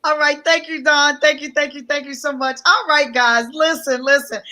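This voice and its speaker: High, bright, hoarse woman on a live stream. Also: normal speed. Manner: energetic, repeats greetings, reads out viewer comments. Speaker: guest business coach. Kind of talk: live-stream promotional talk.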